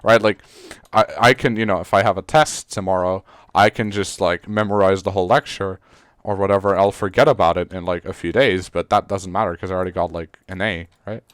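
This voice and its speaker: A teenage boy from Serbia, speaking English as a second language, talks loosely on a podcast. His voice is lowish and smooth, full of fillers, false starts and casual swearing. The sound is dry and close. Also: fairly even intonation, a little fast, dry recording. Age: teens. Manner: rambling, many fillers and restarts, swears casually. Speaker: podcast co-host. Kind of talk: casual chat.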